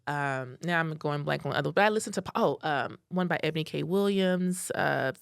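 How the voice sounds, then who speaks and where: A woman's voice: sits low, brisk, gravelly, clean tone, no echo, clean studio sound. A woman co-hosting a casual podcast chat, playful and upbeat as she tells stories. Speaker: podcast co-host.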